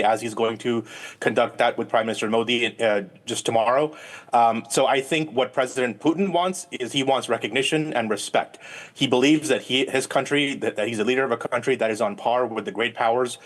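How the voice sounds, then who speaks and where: A man speaking as a guest political analyst in a live news interview, explaining at length and often repeating words as he phrases his points. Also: medium pitch.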